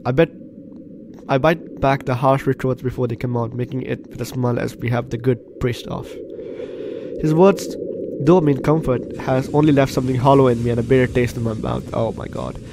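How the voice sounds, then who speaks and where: A man's voice: medium pitch, fairly even intonation, normal speed, creaky, background noise. A man hosting a game walkthrough, reading the on-screen story aloud and reacting in an exclamatory way. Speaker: gaming host. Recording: game music behind commentary. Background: game music.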